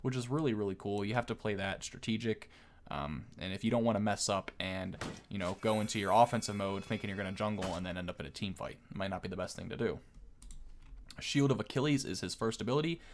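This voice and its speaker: A man with a fairly low voice hosts a gaming video. His commentary is casual and conversational, and he explains as he goes like someone talking a viewer through the details. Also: fast talker, slight background noise.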